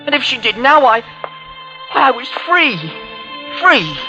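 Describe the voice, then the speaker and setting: High-voiced, nervous young man. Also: expressive, sharp tone, lo-fi sound, soft background music, exaggerated voice. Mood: haunted confession. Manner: halting, stammering, agitated. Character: guilt-ridden, nervous young street-hardened man. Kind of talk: old-time radio drama acting and confessional narration. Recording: vintage radio recording.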